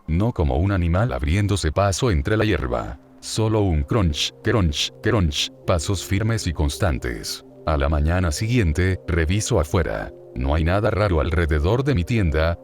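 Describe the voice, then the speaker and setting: A man with a very low, deep voice narrates horror stories in a steady, measured way. He speaks close to the mic as a voice-over. Music plays behind him the whole time. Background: background music.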